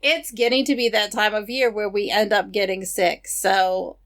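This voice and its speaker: A woman co-hosting a podcast, reading news items in a steady, matter-of-fact way with casual asides. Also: medium pitch, moderate pace. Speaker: podcast co-host.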